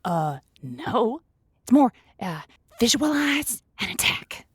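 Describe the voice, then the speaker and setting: A woman's voice: a little high, sing-song, takes it slowly, a bit bright, breathy edge, no echo, clean studio sound, heavily acted. An actress playing a sultry, playful woman who hosts a lonely-hearts radio call-in show with theatrical, encouraging patter. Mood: hesitant, bashful. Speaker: late-night radio call-in show host. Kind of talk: radio drama, on-air monologue and chat.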